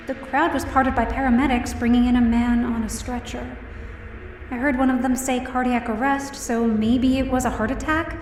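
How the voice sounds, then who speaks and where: A woman's voice: high voice, reverberant, distant mic, a little hiss or hum, lightly acted. A woman playing an emotional young woman who narrates her own story in an audio drama. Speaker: first-person narrator and lead.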